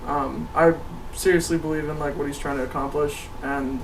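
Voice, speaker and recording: A young American man giving a casual, earnest and somewhat halting introduction to a group as an actor. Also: fairly high pitch, little pitch movement, vocal fry. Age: young adult. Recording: noisy, distant microphone.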